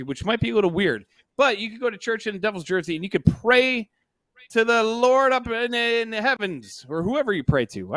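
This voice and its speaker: Higher-voiced man, emphatic sports talk, dry sound. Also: a bit bright, dry recording. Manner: emphatic, repeats himself for stress. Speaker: hockey podcast co-host. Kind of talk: casual opinionated sports talk.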